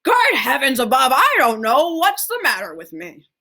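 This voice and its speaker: A woman reading a children's story aloud, voicing a fond, excitable, very old grandfather who exclaims and savors his superlatives. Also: high voice, normal speed, pitched above the performer's own voice, full character voice. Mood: astonished.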